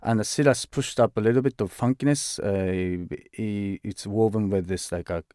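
A man with a low, smooth voice speaks as an interviewed fashion director. He talks in a halting way, leaning on "like" and "uh" and restarting words. The sound is dry and close, with no room echo. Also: dark tone. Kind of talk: conversational interview.

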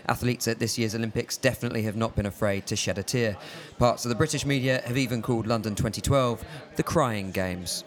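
A man hosting a radio show, presenting in a smooth, scripted way. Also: mid-range voice, a little fast, few pauses. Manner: smooth, polished delivery. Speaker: radio host.

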